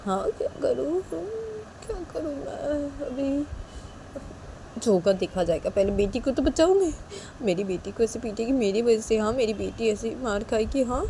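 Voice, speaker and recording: A woman's voice: on the low side, steady tone, moderate pace, dark tone, slight background noise, lightly acted. A woman voicing a timid young father who pleads and stammers anxiously. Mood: panicky.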